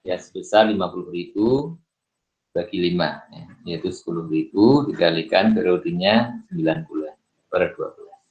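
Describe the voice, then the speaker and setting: A man's voice: deep voice, moderate pace, a little mellow, highs cut off. A man giving an informal worked-solution lesson as a lecturer, thinking aloud step by step and often asking himself questions before answering. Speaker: accounting lecturer.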